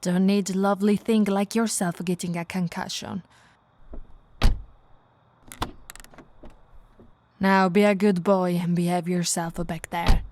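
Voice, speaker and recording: A woman's voice: lowish voice, little pitch movement, normal speed, a little mellow, slight background noise, natural voice. A woman voicing a flirty but firm policewoman in an ASMR roleplay, with a teasing, coaxing, authoritative manner. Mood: brisk, in command. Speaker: voice actor in roleplay audio.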